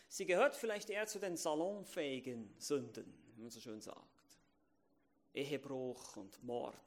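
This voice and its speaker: Emphatic German-speaking preacher, fairly high voice. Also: male voice. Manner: emphatic, swings between loud stresses and quiet asides; repeats phrases, asks the congregation questions. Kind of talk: sermon.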